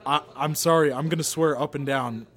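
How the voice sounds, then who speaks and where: A man's voice: fairly high pitch, fairly even intonation, fairly quick, fairly bright tone. A man who is a guest on a casual podcast and talks at length while hedging his claims, recorded in a hookah bar restaurant. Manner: talkative, hedges with if I'm not mistaken. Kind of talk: casual chat. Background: chatter.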